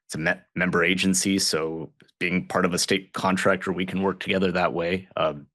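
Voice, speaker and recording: A man from Southern Illinois, a guest on a podcast, talking in a relaxed, conversational way with fillers and restarts. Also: low-pitched, moderate pace, no room sound, studio quality. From Southern Illinois, United States. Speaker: guest, water agency general manager. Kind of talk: casual podcast interview.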